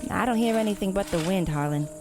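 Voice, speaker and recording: Woman acting a young vigilante murderess, cold, defiant, self-righteous, deliberate, dramatic audio drama, music underneath. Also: mid-range voice, lightly acted. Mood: cold denial.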